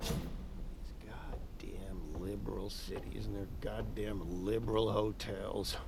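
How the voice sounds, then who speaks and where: Slow, gravelly, belligerent man. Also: on the low side, steady tone, heavy background noise. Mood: scornful grumbling. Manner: cursing, grumbling, drawling. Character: crude, belligerent Texan lawman, scornful and hard-drinking. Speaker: actor in audio drama. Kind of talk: audio drama acting.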